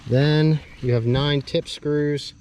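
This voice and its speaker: A man giving a casual, off-the-cuff unboxing commentary, halting and thinking aloud as he handles parts with a camera in hand. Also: a little high, steady tone, darkish tone. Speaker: hobbyist vlogger doing a product unboxing. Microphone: handheld camera held while working, varying distance. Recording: noisy handheld camera audio, clear background noise.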